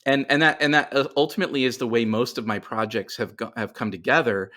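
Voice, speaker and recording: A man's voice: medium pitch, fairly even intonation, fast talker, pushed and bright. A man speaking as a writer guest in a casual podcast interview, explaining things and thinking aloud as he corrects himself.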